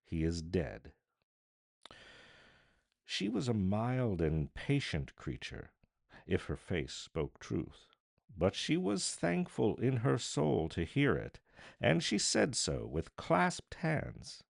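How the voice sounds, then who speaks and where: A man narrating literature as an audiobook reader, theatrical, deliberate and solemn. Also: mid-range voice, huge pitch swings, gravelly, no echo, clean studio sound.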